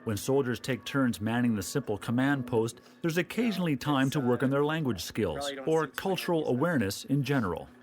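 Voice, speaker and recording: Man narrating a documentary, steady and measured. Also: medium pitch, crowd noise. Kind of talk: scripted narration.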